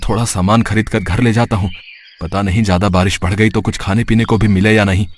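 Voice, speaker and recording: A man's voice: on the low side, fairly even intonation, fast talker, a little hiss or hum, close to an everyday voice. A man voicing a poor, anxious labourer and father in a cartoon story, speaking earnestly. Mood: worried. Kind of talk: Hindi cartoon story character voice.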